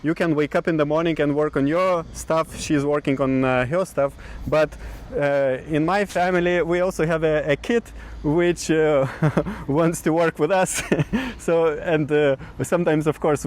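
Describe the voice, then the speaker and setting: Hesitant Lithuanian man, slightly high voice. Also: steady tone, unhurried, hardly any pauses. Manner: hesitant, searching for words. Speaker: podcast co-host. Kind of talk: casual conversation. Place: outdoors in a city.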